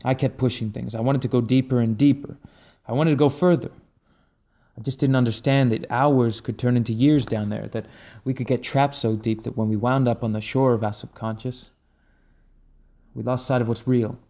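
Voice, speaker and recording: A man playing a haunted, intense leader of dream thieves in an audio drama, speaking in a brooding, deliberate way. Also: medium pitch, steady tone, mellow, natural voice. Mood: haunted, regretful.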